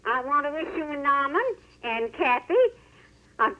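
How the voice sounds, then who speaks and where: A woman's voice: sits high, normal speed. A woman phoning in to a radio show over a muffled telephone line, chatting casually as a listener. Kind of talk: casual phone chat.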